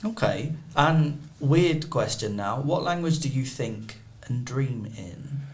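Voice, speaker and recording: A man hosting a casual interview chat, lively and probing with his follow-up questions. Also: mid-range voice, slow. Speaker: podcast host.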